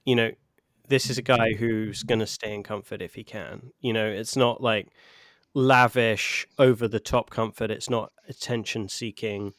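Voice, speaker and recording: A man co-hosting a podcast, talking about a film in a measured, thoughtful way. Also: fairly low pitch, steady tone, a bit bright, no room sound, studio quality. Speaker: podcast co-host. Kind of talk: casual film discussion.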